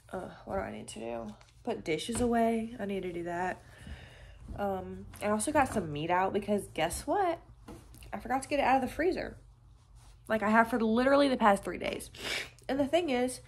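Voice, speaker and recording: A woman's voice: fairly high pitch, slight background noise. A woman in her twenties with a stuffy nose from a cold, rambling casually with many fillers as she vlogs her day into a phone held at arm's length, first in a car and then at home. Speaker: vlogger. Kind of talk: casual day-in-the-life vlog chat. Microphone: phone camera held at arm's length.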